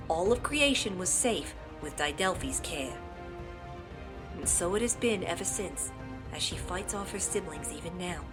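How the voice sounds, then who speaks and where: Earnest opossum mom storyteller played by a woman over music. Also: lowish voice. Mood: defensive, enthusiastic, then solemn. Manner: dramatic storytelling that slips into casual, defensive asides. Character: opossum mother, earnest storyteller, playful and easily flustered. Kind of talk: animated fandub, bedtime-story narration. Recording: loud music behind the voice.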